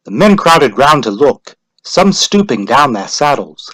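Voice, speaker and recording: A man's voice: medium pitch, big pitch swings, measured pace, a bit bright, rough recording. A man narrating a scripted story as a dramatic reading.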